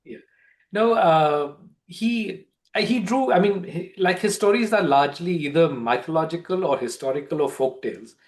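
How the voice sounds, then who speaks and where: A man's voice: a little high, moderate pace. A man with an Indian accent in an informal book club discussion, explaining and often rephrasing as he goes.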